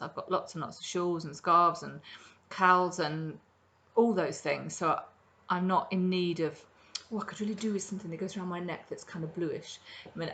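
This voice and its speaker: A woman's voice: sits low, a bit bright. A middle-aged woman hosting a crafting podcast from her kitchen, chatting casually about her projects with plenty of ums. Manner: chatty and rambling, many ums. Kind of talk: casual show-and-tell chat. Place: home kitchen, indoors.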